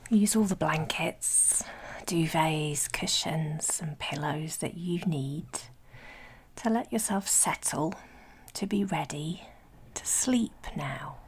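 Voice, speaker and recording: A woman leading a guided sleep meditation in a breathy, whispery soft voice, soothing and gentle, with long pauses between phrases. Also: deep voice. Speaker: guided relaxation instructor.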